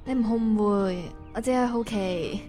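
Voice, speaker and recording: A woman acting as a composed, probing and quietly wounded first love in a Cantonese radio drama. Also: mid-range voice, normal speed, lightly acted. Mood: cool, resolved. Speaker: voice actor playing the first love. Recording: music under the dialogue.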